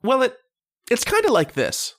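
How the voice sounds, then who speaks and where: A man's voice: very high voice, huge pitch swings, moderate pace, fairly bright tone, no room sound, exaggerated voice. A man playing an earnest, hesitant ten-year-old boy in a radio drama, politely questioning his mother. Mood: shy, curious.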